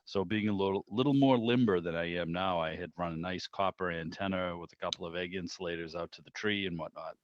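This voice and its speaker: Middle-aged male host, deep voice, rambling animated storyteller in casual chat, slightly muffled video-call sound. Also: fast, few pauses, dry recording. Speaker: club meeting host, interviewer. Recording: dull, lo-fi video-call audio.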